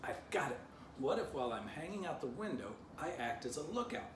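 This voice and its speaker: An excitable storybook dog played by a man, rambling and begging with very lively swings in pitch. He is eager and pleading. The recording is roomy and distant, with clear background noise. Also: fairly quick. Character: excitable, pleading, window-loving male pet dog. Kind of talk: children's storybook character voice. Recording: distant mic, roomy, noisy.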